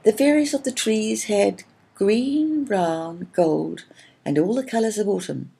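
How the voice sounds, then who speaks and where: Kindly storybook female a woman narrator acting her: cheerful, reassuring, soft mellow voice with slight creak. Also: mid-range voice, a little slow, voice pushed up. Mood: happy, reassuring.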